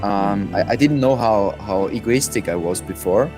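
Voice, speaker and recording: A man's voice: lowish voice, normal speed, over music. A young adult man from Austria with an Austrian accent, chatting casually as a podcast guest and often repeating words as he thinks.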